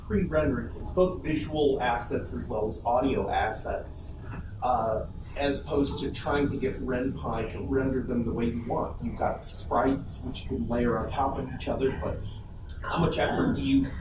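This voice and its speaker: A man in an audience posing a detailed technical question in conversational speech. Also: medium pitch. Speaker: audience member asking a question. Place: convention panel room. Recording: very noisy echoey room, distant.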